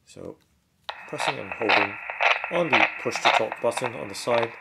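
A man giving a casual hands-on product demonstration, talking step by step in short, halting phrases. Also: mid-range voice, gravelly, very noisy. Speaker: product reviewer.

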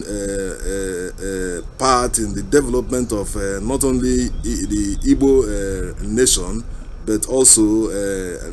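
A man with a Nigerian accent giving unscripted road-trip commentary as a vlog host, haltingly, searching for words with many uhs. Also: fairly low pitch. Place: inside a car driving through town. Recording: steady vehicle and road noise. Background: vehicle and street noise.

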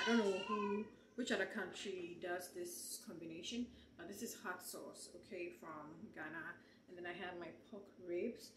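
A woman in her twenties vlogging casually at home, talking to viewers with frequent laughs and animated asides, filmed on a handheld camera. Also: on the low side, reverberant, background noise. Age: 20s. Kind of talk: casual vlog chat. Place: small apartment kitchen and bedroom indoors. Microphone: handheld camera at arm's length.

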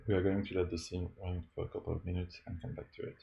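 A man narrating a step-by-step software tutorial as a demo presenter. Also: low-pitched, level tone, fast talker, vocal fry, highs cut off. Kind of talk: scripted tutorial narration over a screen recording.

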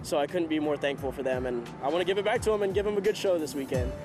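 A man's voice: a little high, very fast. Enthusiastic twenties male race driver, interview answers, music under the voice. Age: 20s. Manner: upbeat, enthusiastic. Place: outdoors in a race paddock garage. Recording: loud music bed underneath. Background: loud background music.

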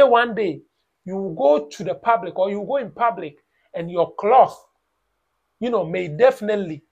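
A man's voice: sits high, creaky, breathy edge. A man in his thirties with a Ghanaian English accent, talking to camera at home in a lecturing, emphatic vlog, repeating his points. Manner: lecturing, emphatic, repeats phrases, mocks with "blah blah". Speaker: YouTube reaction vlogger. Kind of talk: opinion vlog commentary. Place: small room at home, indoors.

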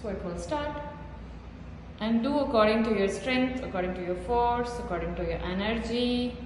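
A woman vlogger giving a slow, simple walk-through of a small indoor gym, filming on her phone and pointing out each machine. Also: fairly high pitch, darkish tone, slight background noise. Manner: simple repetitive pointing-out explanations. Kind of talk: casual gym tour vlog. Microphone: phone held while filming. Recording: echoey room with hall reverb.

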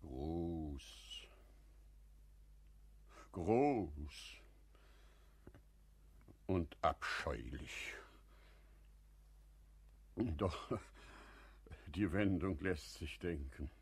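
Deep, husky older merchant. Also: male voice, expressive, no echo, heavily acted. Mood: thoughtful, courteous. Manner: measured, courteous, deliberate. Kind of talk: classic German radio play acting.

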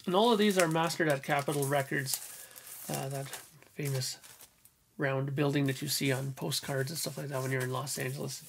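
A man's hoarse, gravelly voice. He chats enthusiastically in a small home room, with a faint hiss under the sound. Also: medium pitch, fairly even intonation, moderate pace, long pauses. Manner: enthusiastic, frequent throat clearing. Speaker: record collector vlogger. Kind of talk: casual record collection walkthrough. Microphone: headphones on, webcam or desk mic.

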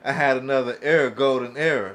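A man chatting casually as a podcast panelist, in halting, trailing phrases. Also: mid-range voice, a bit bright, low-quality recording. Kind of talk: casual chat. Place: small podcast room. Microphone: studio mic on boom arm.

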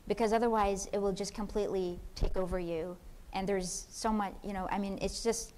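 A woman's voice: medium pitch, darkish tone. A woman speaking on a conference panel, answering questions in an earnest, thoughtful way with frequent restarts. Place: conference hall stage.

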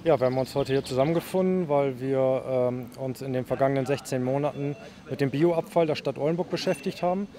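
A man in his forties giving a press interview as a manager, speaking haltingly with frequent hesitations. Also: mid-range voice, mellow. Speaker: waste company manager. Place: outdoors beside a garbage truck. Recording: clear outdoor background noise.

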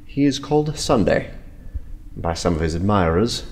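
A man's voice: low voice, moderate pace. Fervent, theatrical anarchist man. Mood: grave, secretive. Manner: theatrical, swinging from hushed to excited. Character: fervent, boastful male anarchist poet posing as harmless. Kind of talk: full-cast radio drama acting.

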